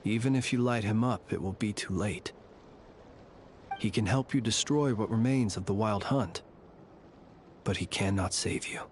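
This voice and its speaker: Man as a low, courtly lantern keeper. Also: a little hiss or hum, natural voice. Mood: solemn regret. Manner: formal, measured, eloquent. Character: courtly, solemn male ghost-tending lantern keeper. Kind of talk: dramatic video game dialogue.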